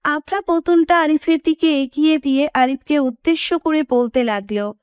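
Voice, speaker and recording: A woman narrates a scripted romantic story. Her voice sits high in pitch. The recording is very dry, with no room sound around her. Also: normal speed, a little mellow, no echo.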